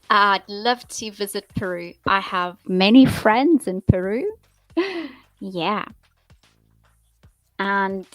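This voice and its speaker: A woman hosts a live stream as a teacher, speaking very slowly and repeating her questions for learners. The sound is dry and close, with faint music underneath. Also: mid-range voice, a bit bright, no echo. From England. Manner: slow, friendly, repeats phrases to learners. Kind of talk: live-stream chat with viewers.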